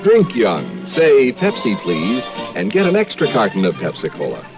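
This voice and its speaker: A male pitchman reads an old radio commercial with a very expressive delivery. Loud music plays behind him on a muffled recording. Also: moderate pace. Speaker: commercial announcer. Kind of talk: vintage radio advertisement.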